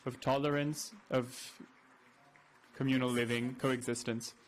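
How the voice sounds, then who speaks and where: Guy giving a conference speech, earnest, pretty flat monotone. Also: mid-range voice, slight background noise. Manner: earnest, halting, with restarts. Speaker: conference speaker, activist.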